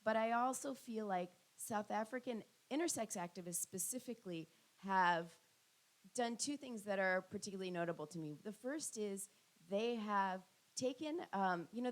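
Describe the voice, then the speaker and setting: A middle-aged woman academic answering audience questions on a panel, thoughtful and full of ums and restarts. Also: mid-range voice.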